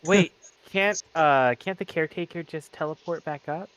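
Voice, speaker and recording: A man's voice: on the high side, normal speed, fairly bright tone, dry recording, low-quality recording. A man playing a tabletop roleplaying game in casual, questioning conversation.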